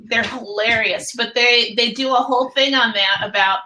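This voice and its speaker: A woman's voice: a little high, fairly even intonation, moderate pace, bright, forceful tone, rough recording. A woman co-hosting a podcast in casual chat, laughing often. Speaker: podcast co-host.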